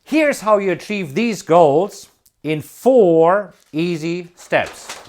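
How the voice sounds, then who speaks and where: A man in his forties giving an emphatic motivational talk, asking rhetorical questions and building excitement. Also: sits high, slow pace, slight background noise. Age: 40s. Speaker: self-help video presenter.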